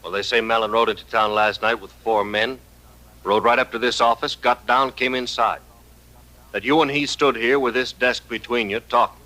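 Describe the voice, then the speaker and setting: A man's voice: mid-range voice, steady tone, moderate pace, sharp tone, natural voice, poor audio. An actor playing a wary townsman in a radio western, probing and uneasy as he questions. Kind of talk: 1950s radio western acting. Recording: old radio with faint noise.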